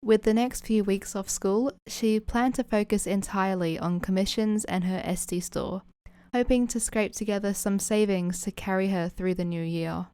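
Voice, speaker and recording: A woman's voice: medium pitch, fairly even intonation, moderate pace, warm tone, clear, resonant tone, no echo. A woman reading a scripted story as the narrator of an audio fan fiction.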